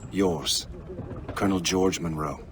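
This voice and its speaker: Very deep, solemn colonel. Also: male voice, music in the background. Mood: solemn, resigned. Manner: formal, measured. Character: solemn, resigned army colonel reading his letter. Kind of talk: letter narration in video game cutscene.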